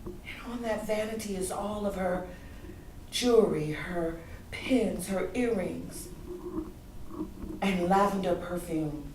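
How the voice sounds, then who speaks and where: A woman performing a theatrical monologue as a grieving grown daughter, wounded and yearning, telling her story emotionally with deliberate dramatic pauses. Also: medium pitch, a little mellow, close to an everyday voice. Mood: wistful. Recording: echoey room, distant mic, faint noise.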